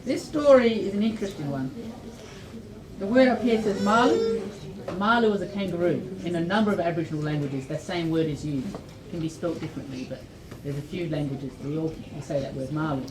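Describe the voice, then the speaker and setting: A woman's voice: on the low side, fairly quick, background noise. Echoey, distant Australian woman presenting to children. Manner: simple explanations with frequent questions to listeners. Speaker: storyteller and presenter at a library children's event. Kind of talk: informal educational talk to young children. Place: indoor library event room. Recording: echoey room, distant mic, children's chatter.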